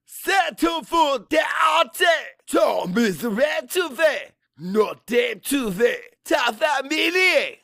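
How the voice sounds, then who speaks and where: A man's voice: very high-pitched, sing-song, drawn-out words, vocal fry, few pauses, bright, forceful tone, no echo, raised voice, clean studio sound, voice pushed up, full character voice. A man voicing a fiery, combative old Cajun man for an audiobook, emphatic and folksy, mixing French into his English. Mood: angry goading.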